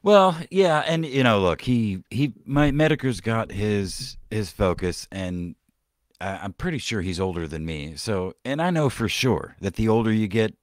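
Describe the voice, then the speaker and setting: A man's voice: on the low side, expressive, unhurried, no echo, studio quality. A man hosting a podcast, rambling and swearing through casual commentary, with many restarts and trailing-off sentences. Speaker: podcast host.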